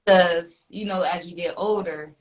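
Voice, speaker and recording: A woman in her forties from Nebraska hosts a casual radio interview. She is easygoing and keeps things moving with short affirmations. Her voice sits a little low for a woman. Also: fairly even intonation, a little slow. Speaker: radio host.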